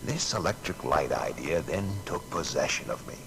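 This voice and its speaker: An actor playing a proud male inventor recounting his discoveries in a deliberate, declamatory, gravelly voice for an audio biography. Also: low voice, expressive, background noise. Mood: earnest reminiscing. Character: Thomas Edison, American inventor recounting his discoveries with pride. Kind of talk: dramatised first-person narration.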